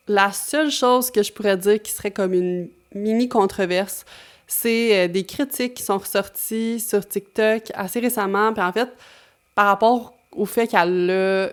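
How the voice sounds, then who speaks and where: A young woman from Québec with a Québécois accent, hosting a chatty video with frequent fillers. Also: mid-range voice. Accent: Québécois French. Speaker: YouTube host. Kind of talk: talking-to-camera commentary.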